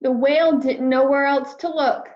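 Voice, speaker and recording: A woman's voice: high voice, steady tone. A woman reading a picture book aloud to young children, expressively, with simple explanations along the way. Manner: slow, simple explaining addressed to young listeners. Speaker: read-aloud storyteller, teacher of young children. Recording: roomy echo, slightly dull sound.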